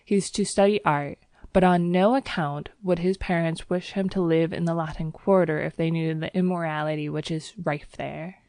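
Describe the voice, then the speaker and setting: A fussy, pompous old clergyman, played by a woman reader who lectures earnestly about good influences. The voice sits low and measured, close to the mic in a dry space. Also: fairly even intonation, darkish tone, dry recording. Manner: earnest, moralizing.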